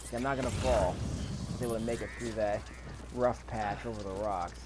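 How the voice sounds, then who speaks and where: A man's voice: on the low side, steady tone, normal speed, background noise. Man commentating casually over gameplay. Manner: musing aloud, repeats phrases. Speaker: gaming video host. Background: faint video game ambience.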